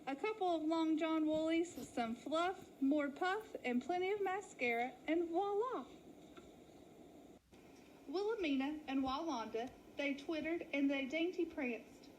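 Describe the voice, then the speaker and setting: A woman's voice: high-pitched, a little slow, darkish tone, a little hiss or hum, poor audio. A woman narrating a children's story aloud in a theatrical, playful way. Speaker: storybook reader at a school.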